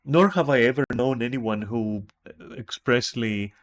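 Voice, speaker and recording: A man's voice: medium pitch, unhurried. A man from Greece with a Greek accent, streaming and reacting to a text, thinking aloud in a puzzled way with many restarts.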